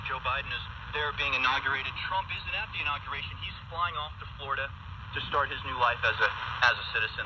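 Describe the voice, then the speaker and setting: A man hosting a political talk show, giving emphatic, alarmist commentary and reading articles aloud with dramatic pauses, heard over shortwave radio. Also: medium pitch. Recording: shortwave radio playback in noisy outdoors, distant. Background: loud outdoor ambient noise, vehicles.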